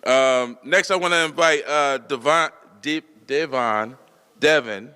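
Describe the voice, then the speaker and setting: Man, activist emcee, forceful tone, informal rallying delivery, reverberant public address sound. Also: medium pitch, takes it slowly, clean tone. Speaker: press conference emcee and activist. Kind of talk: impromptu rally speech.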